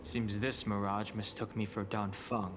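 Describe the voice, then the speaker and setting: An actor playing a calm, guarded young warrior in a video game, speaking in a measured, serious way. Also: male voice, fairly low pitch, background noise, close to an everyday voice. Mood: calm explaining. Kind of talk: dramatic video game voice acting. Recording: background music behind the voice.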